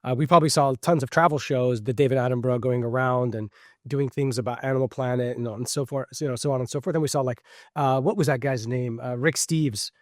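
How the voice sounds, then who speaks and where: A man's voice: mid-range voice, steady tone, fast talker, darkish tone, no echo, clean studio sound. A middle-aged man born in Switzerland, a podcast guest answering questions in a reflective, conversational way with many fillers and restarts.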